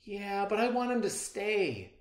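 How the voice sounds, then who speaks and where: An excitable young farm child from a storybook, voiced by a man. The delivery is earnest and swings widely in pitch, with room echo around it. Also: a little slow. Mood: wistful. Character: young farm child, tender, devoted to a dog. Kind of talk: storybook character reading. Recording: roomy echo.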